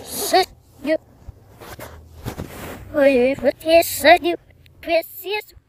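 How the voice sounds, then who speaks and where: A woman's voice: very high-pitched, extremely slow. A woman speaking in a recording. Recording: loud noise, clicks throughout.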